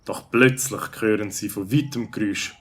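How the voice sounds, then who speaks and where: Man, low pitch, raspy, scripted children's story narration. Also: normal speed, a bit bright.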